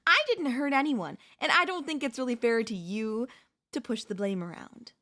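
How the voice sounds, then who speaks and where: A woman playing a possessive, manipulative wife in an ASMR audio roleplay, speaking to the listener in a sweetly condescending, deliberate, theatrical way. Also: fairly high pitch, bright, forceful tone. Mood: accusing, condescending. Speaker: voice actress.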